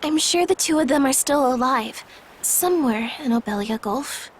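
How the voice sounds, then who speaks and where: A woman's voice: high voice, expressive, moderate pace, a little hiss or hum. A woman playing an earnest, kindhearted young woman in a video game. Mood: sincere, gentle. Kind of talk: scripted video game voice acting.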